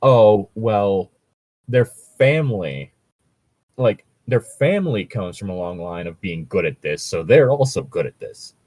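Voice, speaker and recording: A man's voice: lowish voice, animated, measured pace, a little mellow, no room sound. A man co-hosting a casual commentary chat, talking in a hesitant, filler-heavy and casually sweary way. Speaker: podcast co-host.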